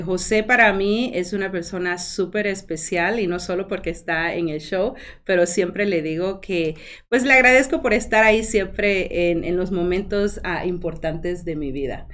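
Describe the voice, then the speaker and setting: Woman, talk show host, casual chat, frequent filler pauses. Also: fairly low pitch. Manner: warm, chatty, frequent fillers like "ah" and "am".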